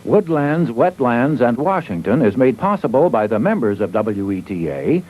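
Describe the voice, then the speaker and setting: A male announcer reading a scripted funding credit in a formal, measured way. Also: medium pitch, big pitch swings, dark tone, a little hiss or hum, poor audio.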